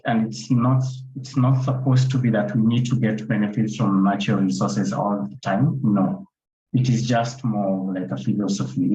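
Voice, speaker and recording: A man's voice: lowish voice, a little mellow. A man speaking off the cuff as an environmental educator on a panel, emphatic and often repeating his phrases. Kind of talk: extemporaneous panel discussion. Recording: roomy, dull, noisy call audio.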